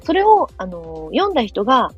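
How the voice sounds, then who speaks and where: A woman's voice: fairly high pitch, huge pitch swings, normal speed. A woman guest in a casual chat over a call, explaining her method step by step. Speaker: guest, picture book and story writer. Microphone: remote call connection. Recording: dull lo-fi call with background music. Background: background music.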